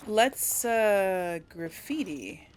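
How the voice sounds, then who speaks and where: A woman's voice: medium pitch, expressive, drawn-out words, a little hiss or hum. A woman giving casual commentary as she role-plays through a video game, thinking aloud hesitantly. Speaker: video game streamer role-playing for an audience.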